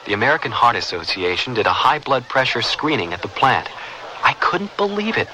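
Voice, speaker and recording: A young man tells his story in a casual testimonial manner. His mid-range voice moves up and down freely, and clear background noise runs through the recording. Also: mid-range voice, very animated, a little fast, pushed and bright, poor audio. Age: young adult.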